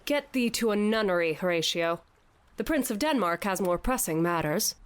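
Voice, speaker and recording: Actress as dazed woman, grandiose declaiming, mock-Shakespearean, middle pitch, audio drama. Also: expressive, normal speed, clear, resonant tone, lightly acted. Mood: mock-tragic. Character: dazed theatrical woman raving as Hamlet to a fish head. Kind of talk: scripted audio drama acting.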